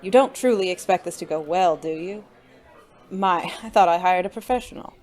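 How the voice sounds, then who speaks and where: Someone acting smooth posh-mannered gentleman, calm, arch n teasing, formal wording, noir radio play. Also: medium pitch, moderate pace, close to an everyday voice. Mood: coolly guarded. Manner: genteel, arch, formal diction. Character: suave, unflappable gentleman client, teasing and secretive. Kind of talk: noir audio drama acting.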